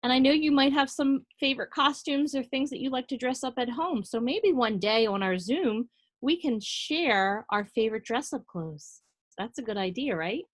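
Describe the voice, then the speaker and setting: Female, slightly high voice, preschool teacher. Animated read-aloud for little kids, simple direct phrasing. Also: normal speed. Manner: animated, addresses small children directly, simple explanatory phrasing. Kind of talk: read-aloud to young children with asides and questions.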